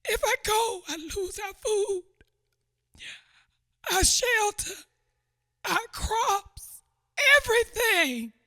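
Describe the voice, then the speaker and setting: A woman's voice: very high-pitched, expressive, extremely slow, pauses often, sharp tone, exaggerated voice. A woman playing a desperate widowed mother. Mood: anguished. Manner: pleading, distraught, speaks in broken dialect. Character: newly widowed young Southern mother, desperate. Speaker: actor. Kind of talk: radio theatre acting.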